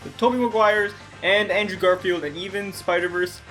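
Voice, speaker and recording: A man's voice: high-pitched, soft background music, rough recording. A twenty-year-old man from Canada, an animator answering viewer questions in a casual, jokey vlog recorded on his bed. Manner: energetic, jokey, quick asides. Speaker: stop-motion animator and channel host. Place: bedroom, on a bed. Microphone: handheld recorder.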